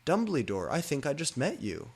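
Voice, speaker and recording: A melodramatic goth teenage girl, acted out by a man reading a story, pitched well up from his own voice. She is exclamatory, foul-mouthed and overwrought, always ready to take offence. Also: wildly swooping pitch, normal speed, slightly rough, exaggerated voice. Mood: shocked.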